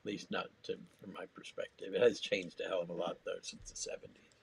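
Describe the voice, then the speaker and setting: A man in casual podcast chat, rambling and drifting between loud and quiet. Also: low-pitched, level tone, fast, vocal fry, breathy edge. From Massachusetts. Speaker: podcast co-host.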